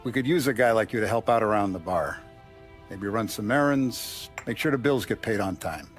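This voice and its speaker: A man voicing a measured mafia boss. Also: on the low side, lively intonation, lightly acted. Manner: measured, lecturing. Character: calm authoritative mafia boss, businessman. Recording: faint music behind.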